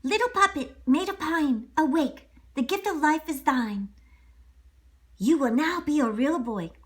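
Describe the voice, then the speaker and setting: Storybook fairy princess played by a woman: high, clear-cut pronouncements, gentle, solemn, magical. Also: expressive, a little slow, a little hiss or hum.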